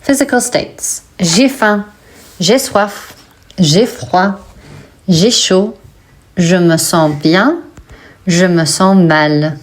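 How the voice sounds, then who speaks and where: A woman teaching French pronunciation from her own textbook. Her voice sits a little low, and she reads each word very slowly and deliberately so learners can repeat it. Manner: slow, deliberate word-by-word reading. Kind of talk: pronunciation lesson reading.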